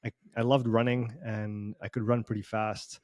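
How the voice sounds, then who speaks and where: A man's voice: fairly low pitch, flat delivery, normal speed, darkish tone, no echo, clean studio sound. A man from Switzerland with a Swiss accent that carries a slight Canadian sound, telling his story as a guest in a casual online interview, rambling along with many fillers. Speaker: performance coach guest. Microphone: video call.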